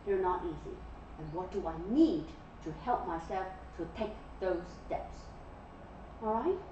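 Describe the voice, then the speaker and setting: A woman's voice: fairly low pitch, expressive, a little slow, warm tone, a little hiss or hum. A woman in her forties giving an interactive classroom lecture and often checking in with "okay?" and "yeah?". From Malaysia. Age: 40s. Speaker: guest lecturer. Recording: echoey classroom from far off, dull.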